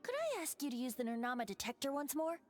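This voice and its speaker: A woman voicing a playful, breezy companion in a video game, light, teasing and gently dry. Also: sits high, sing-song, fast talker, faint music behind. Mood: musing.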